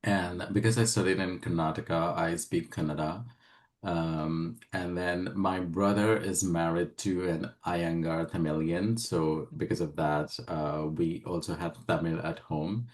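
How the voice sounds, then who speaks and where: A man, answering interview questions as a communication trainer in a measured, emphatic way. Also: deep voice, steady tone. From India. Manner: measured and emphatic, with frequent "uh" fillers. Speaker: guest, communication skills trainer.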